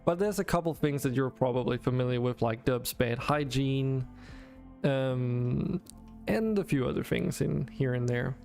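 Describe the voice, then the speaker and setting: Gravelly-voiced Danish man streaming a game. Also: medium pitch, measured pace, darkish tone, soft background music. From Denmark. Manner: rambling, many fillers and restarts. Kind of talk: casual game stream talk to chat.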